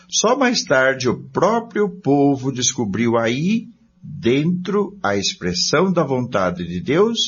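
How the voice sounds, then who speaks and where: A man reads scripted religious narration in a declamatory, emphatic way. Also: mid-range voice. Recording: dull lo-fi audio with faint noise.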